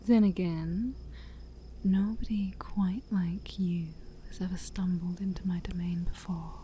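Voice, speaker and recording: Woman playing a dark, breathy, ancient demon princess. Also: medium pitch, a little hiss or hum, lightly acted, rough recording. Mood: teasing, curious. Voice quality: breathy, often near-whispered. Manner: slow, teasing, haughty, theatrical. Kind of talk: soft-spoken ASMR roleplay.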